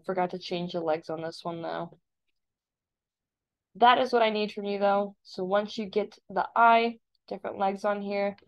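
A woman with a creaky voice, an art teacher casually explaining software step by step in a tutorial voice-over. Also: lowish voice. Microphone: screen-recording voice-over. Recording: dull, lo-fi voice-over.

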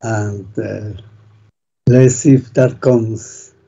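Male panelist, gravelly and husky, slow halting delivery. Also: fairly low pitch, fairly even intonation, mellow. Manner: halting, fumbling with slides. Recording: dull lo-fi video call audio.

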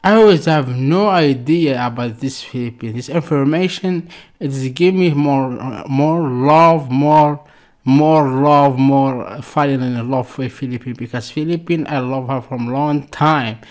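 A man's voice: mid-range voice, unhurried. A man in his thirties with an Algerian accent and non-fluent English gives effusive, casual reaction commentary, often repeating words and phrases. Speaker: reaction video host.

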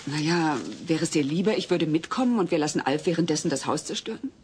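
A woman's voice: deep voice, expressive, quick pace, darkish tone, husky. An actress playing an exasperated, dry-witted mother and wife in a German sitcom audio drama.

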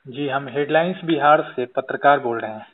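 A man's voice: mid-range voice, brisk. A man working as a journalist, interviewing someone on a recorded phone call.

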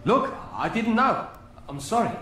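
Supporting male lead, protective and steady, game audio log. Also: high-pitched, unhurried, roomy sound, heavy background noise. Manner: protective, reassuring.

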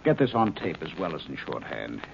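A man's voice: a bass voice, wildly swooping pitch, a little fast, vocal fry, full character voice. An actor playing a male scientist in charge of a secret base, dictating a clipped official letter in 1950s radio drama style. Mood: brisk. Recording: dull old radio recording, faint hiss.

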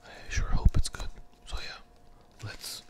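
A person whispering an ASMR candy review close to the microphone, hesitant and often repeating phrases. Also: slow.

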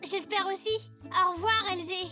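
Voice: very high-pitched, fairly even intonation, measured pace, over music. A performer voicing a cheerful, imaginative young zebra boy in a children's cartoon, with an eager, childlike manner. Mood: happy. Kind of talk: French children's cartoon dialogue.

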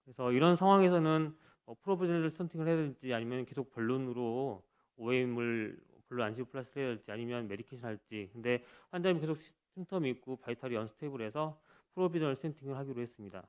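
A man's voice: medium pitch, fast, hardly any pauses, clean tone. A man, a cardiologist, giving a case presentation at a conference podium microphone, talking hesitantly with many fillers and restarts. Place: conference hall stage.

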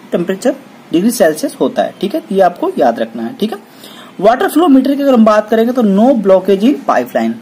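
A woman giving a technical lesson on welding. She reads each item off a checklist and explains it, with a steady "okay" after every point. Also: medium pitch, a little hiss or hum. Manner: repeats "ठीक है" after each point, reads English terms then explains in Hindi. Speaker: welding instructor.

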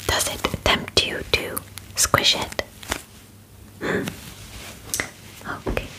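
A young woman whispers slowly in an ASMR roleplay, close to the microphone. She plays a polite alien examiner with formal, deliberate phrasing. Her delivery is clinical yet soothing and reassuring. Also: poor audio. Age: 20s. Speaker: ASMR performer. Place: small bedroom, indoors.

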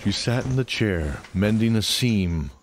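A man reads scripted fantasy narration in a dramatic manner. His voice is very deep, with clear ambient noise behind him. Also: animated, a little slow.

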